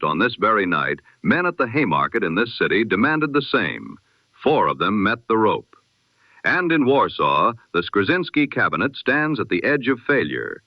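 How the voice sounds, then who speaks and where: Man, old-time radio newsreader, low voice, lively pitch, dry muffled lo-fi sound. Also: no echo. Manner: measured, solemn announcer cadence.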